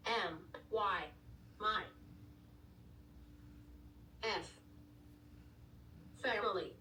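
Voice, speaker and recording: A synthetic woman's voice from a speech device spells out letters one by one before saying each word, very slowly. Its tone is bright and crisp. It sounds a little distant, played in a roomy space with a faint hum behind. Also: mid-range voice. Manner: spells words letter by letter, then says the word. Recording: roomy device speaker heard from across the room.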